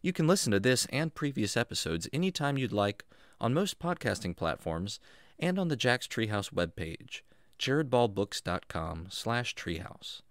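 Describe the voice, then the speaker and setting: A man's voice: mid-range voice, sing-song, moderate pace, dry recording, clean studio sound. A man reading a scripted podcast outro as the show's creator and announcer.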